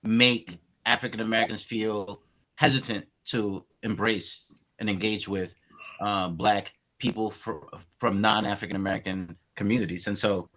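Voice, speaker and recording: A man in his forties from Colombia speaks with a Spanish-inflected accent in a relaxed podcast chat. His voice sits a little low and comes out bright and forceful. He reflects aloud, pausing to search for words as he thinks. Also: poor audio. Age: 40s. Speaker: podcast guest. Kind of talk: casual long-form conversation.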